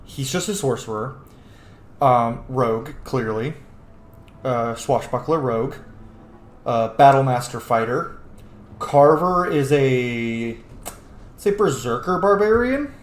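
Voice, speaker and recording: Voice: medium pitch, expressive, takes it slowly, pauses often. A video game streamer giving casual let's play commentary, playful and sweary, often thinking aloud. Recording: echoey room with faint noise.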